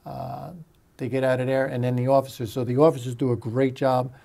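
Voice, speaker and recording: A middle-aged American man answers interview questions as a fire chief. His voice is relaxed and soft-edged, with plenty of gravelly fry. He talks haltingly, often circling back over his phrases. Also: medium pitch. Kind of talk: sit-down interview conversation.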